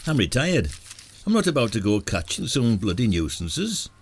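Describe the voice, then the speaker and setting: A man's voice: mid-range voice, sing-song, normal speed, slightly rough, no room sound. A man playing a wry, sarcastic retired village detective with a Northern English accent in a radio drama. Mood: dry. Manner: dry, sarcastic.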